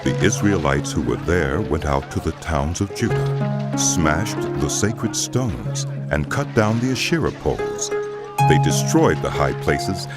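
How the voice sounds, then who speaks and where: A man narrating scripture from a script in a measured, expressive storytelling manner. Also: rumbling low. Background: music under the voice.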